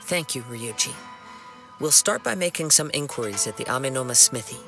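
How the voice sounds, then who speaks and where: A man voicing a humble, gentle young wandering samurai in a video game, speaking in a formal, measured and earnest way. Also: medium pitch, animated, creaky. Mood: polite, composed. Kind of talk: scripted video game dialogue. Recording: game music behind the voice. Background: background music.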